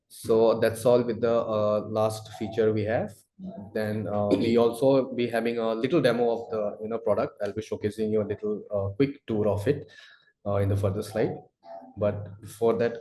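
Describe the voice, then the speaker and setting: Guy doing a webinar demo, pretty monotone, keeps saying you know, roomy echo. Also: lowish voice, a little hiss or hum. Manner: halting, peppered with "you know". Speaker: presenter. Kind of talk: webinar product demo presentation. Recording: roomy, echoing sound.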